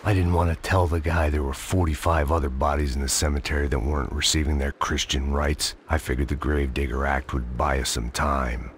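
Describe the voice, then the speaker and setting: An American actor playing a world-weary, bitter middle-aged ex-cop, brooding and deadpan in noir game narration. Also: male voice, a bass voice, a little fast, hardly any pauses, noisy background. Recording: loud music over the voice.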